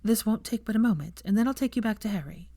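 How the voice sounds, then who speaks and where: A woman voicing a protective, frank young woman in a storybook reading, earnest and gently firm with a child. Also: mid-range voice, expressive, fast, dry recording, pitched above the performer's own voice. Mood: soft, reassuring.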